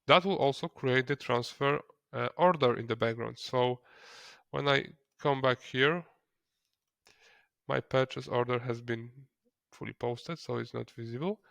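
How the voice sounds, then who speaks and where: A non-native English-speaking man narrating a software tutorial step by step, in a hesitant way. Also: mid-range voice, unhurried, vocal fry, dry recording. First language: not English. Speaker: software trainer.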